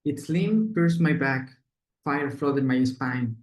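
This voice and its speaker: A man's voice: mid-range voice, slow, reverberant. Male presenter, mid-range voice, student project delivery. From Ecuador. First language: Spanish. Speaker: student presenter, product designer. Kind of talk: project presentation, moving into scripted narration.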